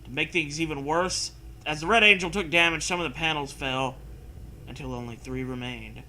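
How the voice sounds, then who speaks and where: A man's voice: fairly high pitch, moderate pace, bright, forceful tone, a little hiss or hum. A man reading a story aloud and breaking in with sarcastic, sweary casual commentary. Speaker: host reading and mocking fan fiction.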